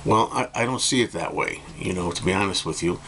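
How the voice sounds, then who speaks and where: A middle-aged man speaks in a low voice that is a little hoarse, as if he has just got up. He rambles casually to the camera, hesitating and circling back over his points. Faint noise sits behind him in a room at home. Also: lively intonation, fairly quick, pushed and bright. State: slightly hoarse, just woken up. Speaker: music vlogger reviewing albums. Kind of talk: casual opinion talk to camera. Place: indoor room with bookcases at home.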